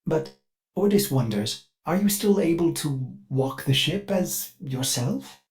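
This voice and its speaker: A man's voice: fairly high pitch, expressive, slow, darkish tone. A man voicing a devoted, anxious ship AI in a video game, speaking in a formal, theatrical and solicitous way. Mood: relieved, scolding. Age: older. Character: fussy, devoted ship AI, eager, anxious, glitchy servant. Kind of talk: scripted video game voice acting.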